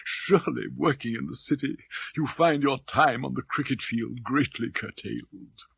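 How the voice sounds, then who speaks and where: A man voicing an eccentric elderly father in an audiobook, mild, plaintive and gently mocking, with British Received Pronunciation. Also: mid-range voice, moderate pace, gravelly, slightly rough, no room sound. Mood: gently malicious.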